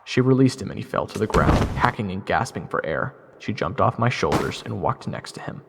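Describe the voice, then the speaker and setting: Man playing a wry, animated jester in reverb. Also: on the low side, fairly quick, gravelly, heavy background noise. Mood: narrating. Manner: casual, wry, awkward. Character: wisecracking, easily flustered jester telling his own story. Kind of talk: first-person audio drama narration and dialogue. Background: music.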